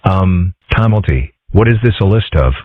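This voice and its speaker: A man's voice: sits low, expressive, normal speed, dry recording. A man voicing a geeky, laid-back young fox boy in a comic dub, dry and matter-of-fact. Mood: dry, puzzled. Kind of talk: amateur comic strip dub. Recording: muffled, phone-like lo-fi audio.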